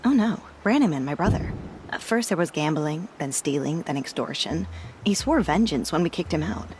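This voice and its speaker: A woman's voice: sits low, animated, normal speed, a little mellow, slight background noise. An actress playing an earnest, wronged woman in a video game, with a heartfelt, emotional delivery. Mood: bitter, outraged. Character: earnest, wronged woman, bereaved daughter and sister.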